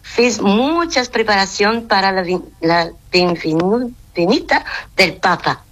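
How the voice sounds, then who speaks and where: A woman, a nun whose first language is Portuguese, speaking in a halting way, mixing Portuguese into her Spanish and searching for words. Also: medium pitch, expressive, fairly bright tone. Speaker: nun, interview guest. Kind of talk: phone interview.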